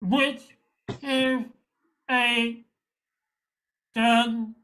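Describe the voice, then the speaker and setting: Older woman playing slow, high-voiced, befuddled middle-aged man. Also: fairly even intonation, noisy background. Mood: weak, dazed. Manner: halting, stammering, hesitant. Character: confused, naive, gentle middle-aged man. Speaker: amateur radio drama actor. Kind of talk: amateur radio play acting.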